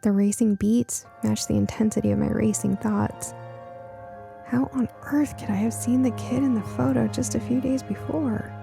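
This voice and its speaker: A woman narrating a first-person audiobook story in an expressive, suspenseful storytelling manner. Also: fairly high pitch, moderate pace, creaky, music in the background.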